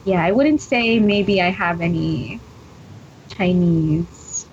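A woman's voice: fairly low pitch, slow pace, darkish tone, slight background noise. Thoughtful woman guest, slightly dull audio. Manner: thoughtful, hesitant with many ums. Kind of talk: casual interview answers.

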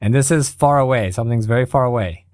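A man from Canada in casual conversation, telling stories in an animated way and laughing easily. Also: mid-range voice, quick pace, no echo, studio quality.

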